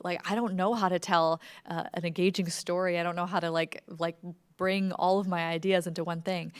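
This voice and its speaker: A woman's voice: medium pitch, clear, resonant tone, no room sound, clean studio sound. A woman giving an informal workshop presentation as a podcast creator, talking in a conversational, enthusiastic way peppered with "like". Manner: conversational, enthusiastic, wordy with frequent "like".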